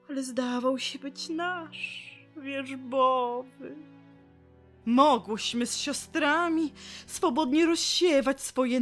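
A woman playing a grieving, vengeful willow tree in an audio drama, with a tearful, theatrical delivery. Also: high voice. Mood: mournful, wistful. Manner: theatrical, tearful, drawn-out lament. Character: weeping willow tree, grieving, vengeful and bitter female spirit.

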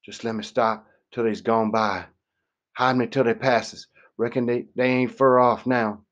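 A man's voice: medium pitch, steady tone, a little slow, a bit bright, voice pushed up, lightly acted. Man voicing a frightened Southern runaway, stammering, whimpering pleas, dull lo-fi sound. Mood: begging. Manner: shaky pleading stammer. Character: big frightened fugitive man, begging, whimpering.

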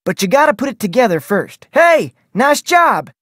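A folksy Western ranch cook for a mystery game. The delivery swings up and down in pitch, full of homespun phrasing, on a dry studio recording. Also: sing-song, moderate pace, a bit bright, dry recording. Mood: chipper, helpful. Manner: folksy, colloquial Western talk. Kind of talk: video game character voice lines.

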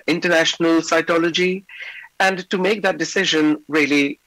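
Middle-aged male lecturer, slightly hoarse, steady filler-laden delivery, dry close sound over a video call. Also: fairly high pitch, no room sound, low-quality recording. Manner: measured, many fillers. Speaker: invited lecturer. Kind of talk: remote conference lecture. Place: indoor office.

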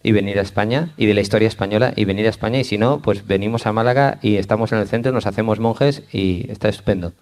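Guy interpreter w/ spain spanish accent, lowish voice, talks really quick. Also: darkish tone. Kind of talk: consecutive interpreting to an audience.

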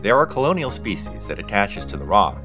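A man reading scripted nature documentary narration as a diver, in an explanatory manner with measured sentences. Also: lowish voice, big pitch swings, music in the background.

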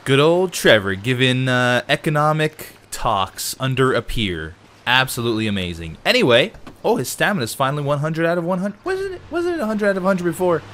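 Man, let's play host, nasal from a cold, animated, bright tone. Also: fairly high pitch, brisk, few pauses. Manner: chatty, excitable, talks to viewers. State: has a cold, a bit nasal. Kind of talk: casual gameplay commentary.

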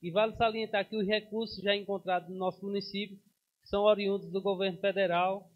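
Man, thirties, councilman, formal podium speech, high even voice, muffled broadcast sound. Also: fairly quick. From Brazil. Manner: formal, steady, with frequent hesitations. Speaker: city councilman. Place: council chamber. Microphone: podium microphone.

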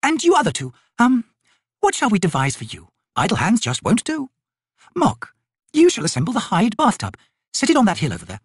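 Grand, flirtatious fantasy sorceress as a male narrator plays her, imperious and lilting, high, very animated delivery. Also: brisk, breathy edge, no echo, clean studio sound, heavily acted. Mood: breezy, imperious. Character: haughty, teasing noble sorceress, female, flirtatious and grand.

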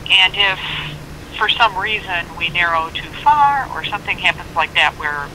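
An American government records officer explaining things informally and haltingly over a phone speaker, in a helpful way. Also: medium pitch, bright, forceful tone. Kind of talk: casual explanatory phone conversation. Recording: noisy speakerphone call picked up outdoors.

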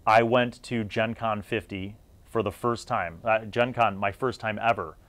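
A man's voice: fairly low pitch, fairly even intonation, unhurried, fairly bright tone, no room sound. A middle-aged man hosting a video series, talking to viewers in a conversational, emphatic way with trailing asides. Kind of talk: retrospective review talk to viewers.

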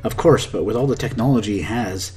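A man's voice: lowish voice, fairly quick, darkish tone, faint music behind. A man in his forties teaching English with matter-of-fact definitions and scripted dialogue.

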